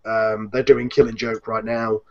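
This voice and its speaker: A man's voice: lowish voice, level tone, measured pace, creaky. A man casually hosting an online group chat, rambling along and correcting himself as he goes. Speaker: host of an online cast chat. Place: online group video call. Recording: dull, lo-fi online call audio.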